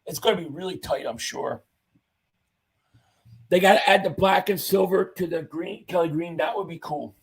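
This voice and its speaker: Man, livestream host, gravelly and hoarse from losing his voice, upbeat and chatty. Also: a little high, moderate pace, long pauses. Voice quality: husky, fading voice. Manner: enthusiastic fan reading chat comments aloud. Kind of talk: casual live-chat commentary.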